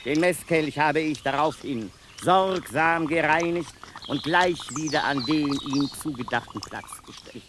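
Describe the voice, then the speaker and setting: An actor playing an institution inmate, a former priest, who formally and solemnly confesses grim deeds in a literary radio drama monologue. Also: male voice, fairly high pitch, background noise, lightly acted. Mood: declaiming, matter-of-fact. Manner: deliberate, formal, solemn recitation.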